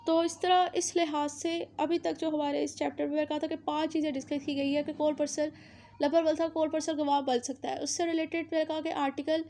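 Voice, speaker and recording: A woman with a Pakistani accent teaches as a law lecturer. Her voice is high and stays on a narrow, even line. She explains step by step, circling back over points and dropping in English terms. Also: fairly quick. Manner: repetitive, uses English legal terms mixed in. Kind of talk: explanatory lecture, recapping legal articles.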